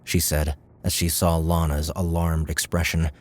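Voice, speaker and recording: A man narrating a scripted audiobook novel with measured, dramatic storytelling. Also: extremely low pitch, flat delivery, a little mellow, no room sound.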